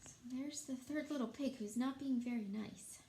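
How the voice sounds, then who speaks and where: A woman with a fairly high voice explains a story as she reads aloud. She points things out simply and addresses listeners directly, in a room with obvious echo. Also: normal speed, warm tone, a little hiss or hum. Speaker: storyteller, teacher. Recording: roomy, echoing sound.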